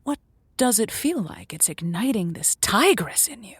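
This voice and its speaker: A woman's voice: mid-range voice, sing-song, normal speed, husky, no echo, studio quality. A woman playing a tentative, sympathetic lonely hearts radio host in an audio drama. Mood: hesitant, probing.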